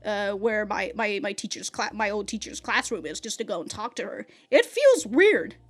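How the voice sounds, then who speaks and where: A woman's voice: medium pitch, lively intonation. A woman in her thirties giving casual reaction commentary, blunt and profane, laughing often and stumbling through restarts and stammers. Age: 30s. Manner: halting, with many restarts and stammers. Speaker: anime reaction reviewer.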